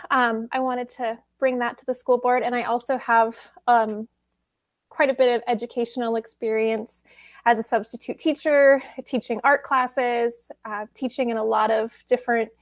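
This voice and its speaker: A woman introducing herself as a new school board member, measured and thoughtful. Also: fairly high pitch, steady tone, dry recording, clean studio sound. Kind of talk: self-introduction at a meeting.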